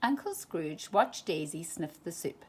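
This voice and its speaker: A woman reading a children's storybook aloud, slow and expressive. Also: lowish voice, slightly rough. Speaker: storytime reader.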